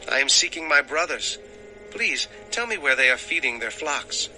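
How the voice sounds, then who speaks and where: An actor playing an earnest, naive young shepherd's son in a dramatized audio Bible. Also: male voice, on the high side, poor audio. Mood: polite searching. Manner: slow, expressive, declaiming. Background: music.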